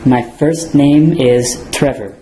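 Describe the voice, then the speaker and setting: A man's voice: mid-range voice, slow, dark tone, a little hiss or hum. A man playing a citizenship applicant, giving short, plain answers. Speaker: applicant in a mock interview. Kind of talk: scripted role-play. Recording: echoey room.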